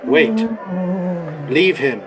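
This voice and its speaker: A man playing a cold, commanding robot leader in a 1930s-style radio drama, speaking in measured, declamatory orders. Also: on the high side, very animated, dull audio, noisy background, full character voice.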